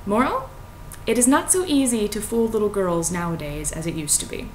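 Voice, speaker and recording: A woman's voice: sing-song, brisk, darkish tone. A young American woman talking to camera in an informal, wry way, like a casual vlogger. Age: young adult. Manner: informal, wry, hedging with "like" and "you know". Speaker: vlogger reading and analysing a fairy tale. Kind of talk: casual spoken commentary to camera. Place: small home kitchen, indoors. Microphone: computer webcam at arm's length. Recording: noisy home webcam recording, low rumble.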